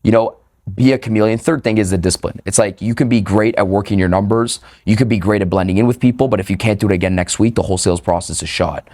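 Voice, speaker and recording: A man's voice: on the low side, darkish tone. A young man from Canada, a sales trainer talking casually on a podcast, punchy and profane, tagging "right?" onto his points. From Toronto, Canada. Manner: fast, punchy, swears casually, frequent "right?".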